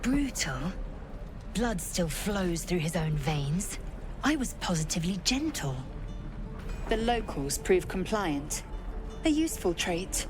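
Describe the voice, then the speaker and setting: A harsh warrior woman from an alien race, giving clipped, cold orders. Her voice sits low for a woman. Game music runs underneath. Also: big pitch swings, slow pace, pauses often. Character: stern githyanki warrior woman, blunt and cold. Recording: game audio with music behind.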